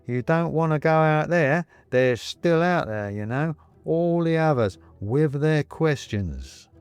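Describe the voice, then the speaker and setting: A man voicing a wary, soothing gentleman who speaks slowly and conspiratorially. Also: fairly high pitch, clean tone, no echo, pitched above the performer's own voice. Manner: slow, calming, conspiratorial. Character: soothing, wary middle-aged gentleman householder. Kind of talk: audiobook character reading.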